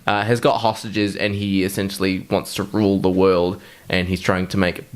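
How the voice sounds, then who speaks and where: A man's voice: deep voice, little pitch movement, normal speed, clean tone. A man co-hosting a podcast, chatting casually and comically about films in a blunt, sweary way. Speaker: podcast co-host. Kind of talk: casual comedic film chat.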